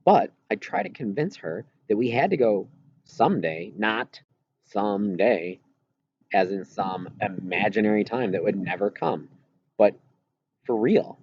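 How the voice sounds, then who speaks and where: A man with a husky voice reads a first-person novel aloud expressively, with halting pauses mid-phrase. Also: on the low side, expressive, a little mellow, highs cut off, slight background noise. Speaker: audiobook reader.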